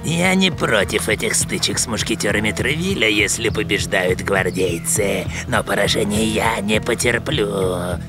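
A man's voice: fairly low pitch, animated, unhurried, few pauses. A man voicing a stern, haughty, scheming dog cardinal in a dubbed cartoon, speaking formally and imperiously. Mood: cold displeasure. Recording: loud music under the voice.

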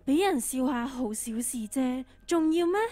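A girl acting a high-pitched mysterious game caller. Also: moderate pace, warm tone, natural voice. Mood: cool, taunting. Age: teenager. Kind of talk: Cantonese radio drama acting. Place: on stage in a school drama competition hall.

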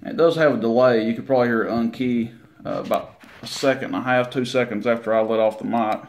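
A man giving a casual, unhurried hands-on demonstration in a folksy, informal way. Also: mid-range voice, highs cut off, a little hiss or hum. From Tennessee, United States. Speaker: CB radio hobbyist presenting his equipment. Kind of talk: casual hands-on demo narration. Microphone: handheld camera mic, operator behind it.

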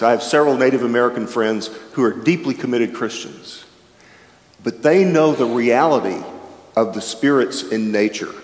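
Older man, chapel speaker, reflective sermon, mid-pitched voice, long echoing hall. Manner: reflective, animated with wide gestures, shifting pace. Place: large chapel with an audience. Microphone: stand microphone at a lectern. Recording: echoey chapel heard from far off.

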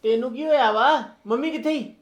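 A man's voice: very high-pitched, a little fast. A man playing a young relative firmly questioning others and organising a search for a missing mother. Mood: urgent. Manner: urgent, questioning, firm. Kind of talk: amateur drama acting.

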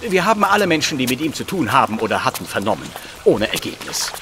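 An actor playing an excitable, blustering police inspector with a husky voice in a German detective radio drama. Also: male voice, mid-range voice, quick pace, music in the background. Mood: businesslike. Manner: excitable, blustering, stumbling over hard words. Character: flustered, eager male police inspector, easily excited.

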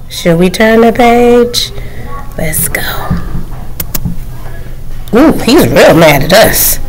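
A woman teacher reading a picture book aloud to children, gentle and questioning. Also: fairly high pitch, lively intonation, extremely slow, pauses often, a little hiss or hum, low-quality recording.

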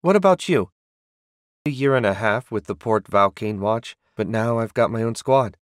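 A man voicing a spirited, tough young woman warrior with a brisk, emphatic manner. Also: on the low side, no room sound, studio quality, lightly acted. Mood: warm, impressed.

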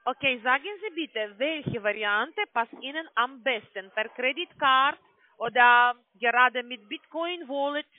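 Very high-pitched male phone agent, foreign accent. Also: dry recording. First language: not German. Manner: halting, often asks for repetition. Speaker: call-centre sales agent. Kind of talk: scripted phone sales pitch. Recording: narrow tinny phone line.

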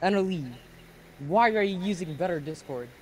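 A man's voice: high-pitched, lively intonation, moderate pace, a crowd in the background. A man in casual voice chat while gaming, blunt and slangy, calling everyone bro.

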